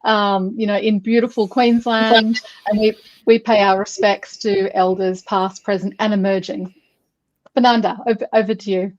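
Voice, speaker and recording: Hesitant woman, dull lo-fi audio. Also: mid-range voice. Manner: hesitant, many fillers. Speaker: webinar co-host. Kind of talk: acknowledgement of country.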